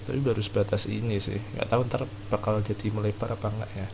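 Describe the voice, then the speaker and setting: A man's voice: fairly low pitch, flat delivery, very fast, vocal fry, background noise. A man with an Indonesian accent giving casual let's-play commentary, informal, slangy and muttering. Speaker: game streamer. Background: game audio.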